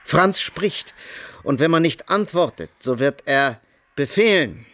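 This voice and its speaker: Creepy gloating noble villain, radio play, lightish voice, really slow and mocking. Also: male voice, on the high side, expressive, a bit bright. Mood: threatening. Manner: deliberate, mocking, threatening. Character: menacing, gloating, lustful young nobleman villain. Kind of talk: German radio drama acting.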